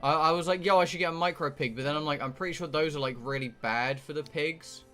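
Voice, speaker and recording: A man's voice: fairly high pitch, quick pace, bright, forceful tone, faint music behind. A British man live streaming a game, rambling casually to his viewers in a blunt, sweary, joking way. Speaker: live streamer playing a visual novel.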